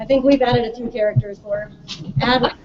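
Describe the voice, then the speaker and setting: A woman's voice: fairly high pitch, fairly even intonation, moderate pace. A woman speaking casually as a teacher hosting a question session at a school event. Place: room with an audience of children and parents. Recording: muffled audio with loud room noise.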